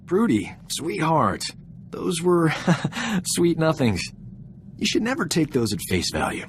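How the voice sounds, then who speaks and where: A man's voice: on the high side, huge pitch swings, takes it slowly, a little hiss or hum, heavily acted. An actor playing a roguish, flippant combat medic and ladies' man in a video game. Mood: glib, smooth-talking. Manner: glib, breezy, self-satisfied, flippant.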